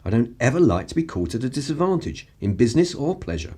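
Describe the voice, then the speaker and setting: A man's voice: mid-range voice, very animated, fast talker, warm tone, breathy edge. A man with an upper-class English accent playing an urbane, wry 1930s journalist in a radio play, languid and drily superior. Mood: defensive, cool. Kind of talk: period radio play acting.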